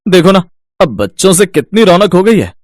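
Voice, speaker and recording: A man's voice: high-pitched, sing-song, moderate pace, no echo, studio quality. A man voicing a cheerful young husband in a cartoon story.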